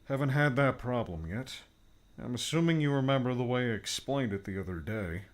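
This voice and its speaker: A man's voice: mid-range voice, lively intonation, moderate pace, pitched above the performer's own voice, close to an everyday voice. A man doing a cheerful tech mentor. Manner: cheerful, explaining. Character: upbeat older male tech mentor, reassuring. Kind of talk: audiobook character voice.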